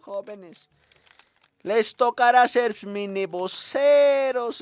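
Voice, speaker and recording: Exasperated Bolivian schoolteacher, played by a man, swooping pitch. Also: a bit bright, no room sound, exaggerated voice. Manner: halting, repetitive, exasperated. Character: weary, scolding schoolteacher given to rambling lectures. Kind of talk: comic radio monologue.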